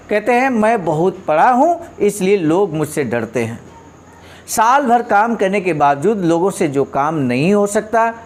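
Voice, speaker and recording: Male speaker, high register, very lively intonation. Instructive motivational delivery: reads quotes, explains them, drives points home through repetition. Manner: emphatic, didactic, rhetorical questions, repeats points for stress. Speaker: speaker explaining a leader's speech.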